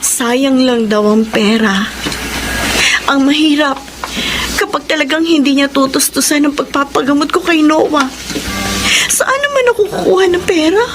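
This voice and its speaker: A woman's voice: sits high, animated, unhurried, pauses often, noisy background. A woman playing a devoted, suffering mother in a Filipino radio drama, earnest and emotional, with a Filipino accent. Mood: sorrowful. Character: devoted, suffering mother pleading for her special son.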